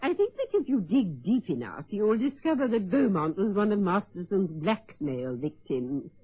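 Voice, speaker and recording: A haughty society lady who fancies herself a detective for a radio play. Her voice is slightly husky and dry, with animated swoops. She speaks precisely and with brisk condescension, as if to someone slower than herself. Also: mid-range voice, dry recording, highs cut off. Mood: exasperated, brisk. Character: imperious, sharp-witted society lady and amateur sleuth.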